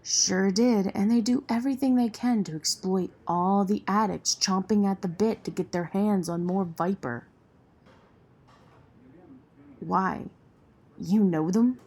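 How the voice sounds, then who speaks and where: A woman's voice: medium pitch, a little slow, a little mellow. A woman playing a tough, jaded relief center volunteer in an audio drama, blunt and world-weary. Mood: bitter, cynical.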